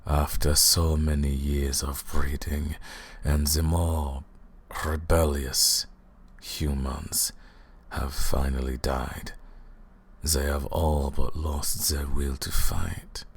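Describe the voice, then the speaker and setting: A man voicing an arrogant, cruel vampire lord in an ASMR roleplay, with a put-on accent and a slow, mocking, menacing delivery in a gravelly, breathy voice. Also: little pitch movement, heavily acted. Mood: gloating, cold. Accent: put-on foreign accent. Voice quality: gravelly, husky, breathy deep voice.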